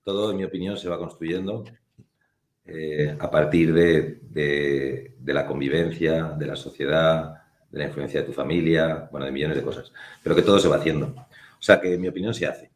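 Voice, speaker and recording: A man's voice: a bass voice, fairly even intonation, reverberant. A man from Spain, a film producer, talking reflectively and unhurriedly as a guest in a casual interview. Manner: thoughtful, reflective, unhurried with frequent pauses.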